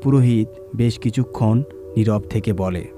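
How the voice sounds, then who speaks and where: A man narrating a scripted horror story in a measured, suspenseful, dramatic storytelling manner. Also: fairly low pitch. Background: music.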